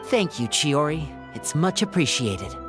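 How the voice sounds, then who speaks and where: A low, unhurried young magician. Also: female voice, very animated. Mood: playful. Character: smooth young male stage magician, charming showman. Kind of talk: game voice acting. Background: game music.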